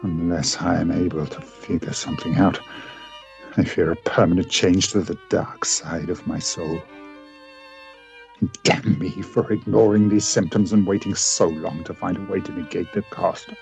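Man playing low-voiced tormented Doctor Jekyll. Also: fairly even intonation, moderate pace, creaky, darkish tone, faint music behind. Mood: brooding regret. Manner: formal, brooding diction. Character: tormented, remorseful gentleman scientist, Doctor Jekyll. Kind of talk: radio play acting.

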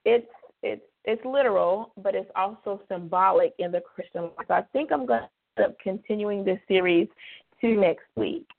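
Slightly hoarse woman on a phone line. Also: mid-range voice, expressive. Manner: chatty, drawn-out emphasis on key words. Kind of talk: casual devotional radio talk. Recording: phone-line call-in radio.

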